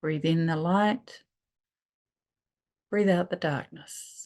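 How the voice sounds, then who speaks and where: Low-pitched woman guiding a meditation. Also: darkish tone. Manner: soothing, unhurried instruction with pauses. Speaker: meditation guide. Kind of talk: guided meditation.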